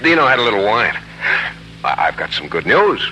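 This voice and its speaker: An actor playing an easygoing, affectionate working husband in 1940s radio drama style. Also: male voice, fairly low pitch, big pitch swings, a little fast, sharp tone, highs cut off, exaggerated voice. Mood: cheerful, affectionate. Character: easygoing, affectionate husband who works with boats. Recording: noisy, humming 1940s radio recording.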